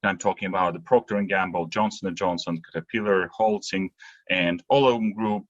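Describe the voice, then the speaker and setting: A man's voice: low-pitched, fairly even intonation, a little fast, muffled recording. A man, giving explanatory answers as a podcast guest. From Latvia. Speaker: podcast guest, industry consultant. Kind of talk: interview answers, explanatory talk.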